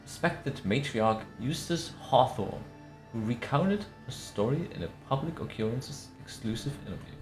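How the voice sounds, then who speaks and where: A man giving a theatrical scripted narration with measured, affected diction in a put-on accent. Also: medium pitch, animated. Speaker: streamer reading aloud. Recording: roomy echo. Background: faint music.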